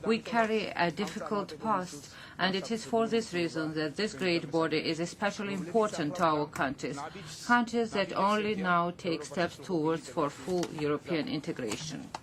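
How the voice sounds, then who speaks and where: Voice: mid-range voice, moderate pace, hardly any pauses, crowd noise. An interpreter voicing a formal speech into English, hesitant and full of fillers and restarts. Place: assembly chamber.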